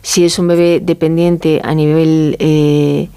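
A woman's voice: low voice, level tone, takes it slowly, mellow, clear, resonant tone. A woman with a Spanish accent from Spain, explaining informally as an expert interview guest, often checking in with little tag questions. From Galicia, Spain. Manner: conversational, often seeks agreement with tag questions.

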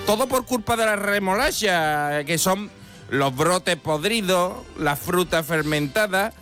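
Comic radio role: tipsy, combative French deer played by a man, high-pitched, indignant, theatrical, music underneath. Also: lively intonation, slow, a bit bright, full character voice. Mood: aggrieved, explaining. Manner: theatrical, indignant, boastful storytelling. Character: boozy, belligerent French deer pleading his case in a letter.

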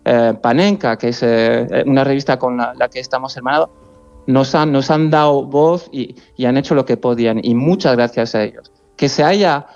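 A man speaking Spanish as a second language in a radio interview, earnest and halting, often repeating words. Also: medium pitch, darkish tone. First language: not Spanish. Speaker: journalist, interview guest. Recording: dull lo-fi remote line.

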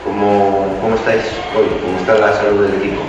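A male sports reporter asks rambling questions, tripping over repeated words. He sounds far from the mic in a long, booming hall, the sound dull and muffled with music loud behind him. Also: flat delivery, a little fast. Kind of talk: press conference questions.

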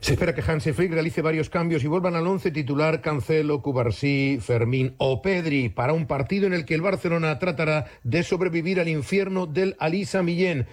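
A man giving a sports report as reporter, with energetic, enthusiastic delivery. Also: fairly high pitch, brisk, few pauses.

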